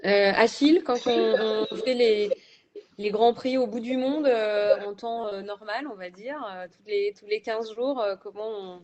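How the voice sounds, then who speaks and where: A woman's voice: fairly high pitch, fairly even intonation, unhurried, lo-fi sound, a crowd in the background. A woman moderating a panel discussion, asking questions with some hesitation.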